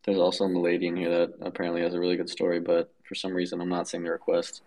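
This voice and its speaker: A man's voice: low voice, monotone, racing, darkish tone. A man hosting a casual live chat show, speaking informally. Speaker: host.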